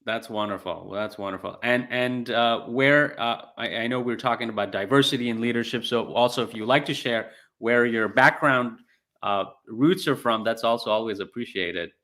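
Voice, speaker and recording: A man hosting a conversational interview, speaking in a halting, hesitant way. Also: mid-range voice, a bit bright. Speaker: interview host.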